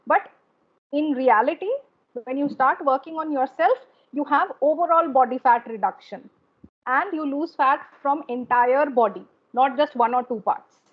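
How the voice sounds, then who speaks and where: A woman's voice: high-pitched, normal speed, dull audio. A woman with an Indian English accent and Bengali as her first language, coaching English in an emphatic, repetitive, teacherly way. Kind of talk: instructive conversation.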